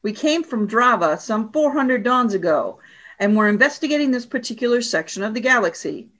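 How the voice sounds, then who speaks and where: A woman's voice: a little high, animated, a little fast, fairly bright tone. A woman playing a cold, imperious alien warrior commander in a radio play, speaking in a stern, formal, commanding way. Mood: cold, severe.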